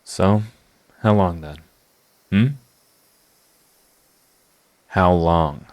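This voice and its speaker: A man acting a sardonic supervillain secretly devoted to his rival, in an intimate, theatrical audio roleplay monologue with halting confessions. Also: low voice, a little mellow. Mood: cornered, defensive.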